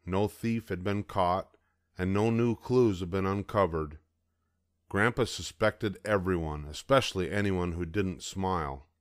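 An older man reading a picture book aloud in steady, even narration close to the mic. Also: fairly low pitch, no room sound. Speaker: storybook reader.

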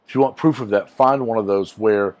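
A man's voice: on the low side, a little fast, a little mellow, dull audio. A man in his forties, a YouTuber, rambling earnestly in a casual rant to camera from a small room on a lapel mic. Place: small room with green backdrop, indoors.

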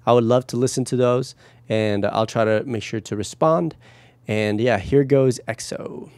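A man in his thirties from Guatemala, a music producer reacting on a casual vlog from his home studio, talking into a close boom mic with effusive enthusiasm. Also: normal speed, a little mellow, dry recording. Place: small home studio room. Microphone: headphones, close mic on boom arm.

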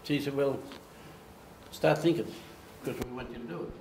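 An older man from Australia, giving an animated storytelling talk at a lectern in a meeting room. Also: medium pitch, moderate pace, creaky, a little hiss or hum. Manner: animated, gestures, acts out quoted voices. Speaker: speaker giving a slide talk. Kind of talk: storytelling presentation. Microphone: far camera. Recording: echoey room, faint ambience.

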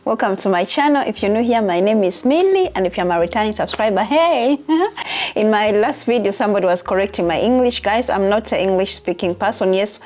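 A young woman from Uganda chats casually to her viewers in a medium-pitched voice. She is talkative and repeats phrases for emphasis, like a friend catching up. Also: animated, fairly quick, a little mellow. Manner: chatty, repeats herself for emphasis. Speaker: vlogger. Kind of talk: casual chat to camera.

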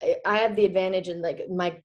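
A woman in her twenties from the Czech Republic, chatting as a guest in a video-call interview, animated and quick to laugh. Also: on the low side, lo-fi sound. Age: 20s. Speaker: guest, former college hockey player. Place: small indoor room. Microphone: laptop webcam on video call.

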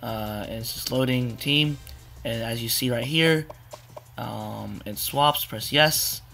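A young man with a hoarse, cracking voice, rambling casually through a video game walkthrough with many fillers. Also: medium pitch, takes it slowly, sharp tone, soft background music. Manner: rambling, repeats "you wanna" with fillers. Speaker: gaming tutorial maker. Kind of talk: casual screen-capture walkthrough.

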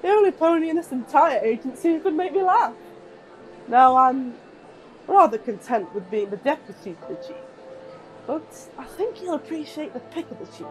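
Brash female deputy for pony audio drama, played by a woman. High, swooping delivery, hearty and commanding. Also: lively intonation, normal speed, music in the background, poor audio.

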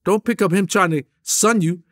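A man's voice: high-pitched, moderate pace, a bit bright. A middle-aged man with a New York accent, an interview guest telling street stories emphatically, full of slang. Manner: streetwise, emphatic, heavy slang, gestures while talking. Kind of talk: animated street storytelling. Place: indoor studio with a drum kit.

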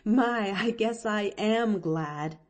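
A woman's voice: mid-range voice, takes it slowly, lightly acted. A woman voicing a plain-spoken, good-hearted but grumbling young servant with blunt rustic phrasing in an audiobook. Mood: amazed, amused. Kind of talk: expressive audiobook character reading.

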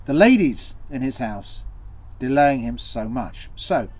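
A middle-aged man telling a tale theatrically, with dramatic, deliberate pauses and repetition. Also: medium pitch, big pitch swings, darkish tone, breathy edge. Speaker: costumed storyteller. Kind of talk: theatrical oral storytelling. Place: small draped indoor set.

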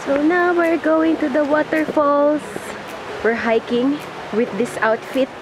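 A bubbly young woman with a Filipino accent hosts a casual travel vlog, her voice sitting high and bright. She talks outdoors into a handheld camera, often breaking into delighted exclamations. Loud music plays beneath her throughout. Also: steady tone, slow pace, poor audio. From Philippines. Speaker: travel vlogger. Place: outdoors on a tropical island.

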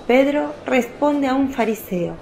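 A woman's voice: high-pitched, animated, a little slow, gravelly, a little mellow, a faint crowd, a little hiss or hum, poor audio. A woman narrating a radio drama as scripted storytelling.

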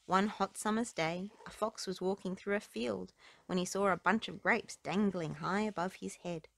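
A woman's voice: medium pitch, steady tone, moderate pace, creaky, fairly bright tone, a little hiss or hum. A woman narrating children's stories and rhymes aloud as a storybook reader, recorded close up indoors.

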